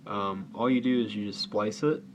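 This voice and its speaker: A man's voice: fairly low pitch, fairly even intonation, normal speed. A man giving an informal, unscripted how-to demonstration as a hobbyist video host, explaining somewhat hesitantly as he goes. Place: indoors at home. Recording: faint background noise.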